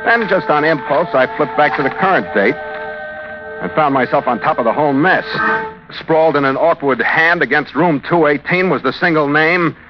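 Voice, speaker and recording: An actor playing a tough, wary ship's skipper in an old-time radio drama, clipped, cynical and wisecracking. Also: male voice, fairly high pitch, normal speed, natural voice. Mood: brooding, wry. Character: tough, wary ship's skipper narrating his own adventure. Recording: muffled vintage radio recording with music behind.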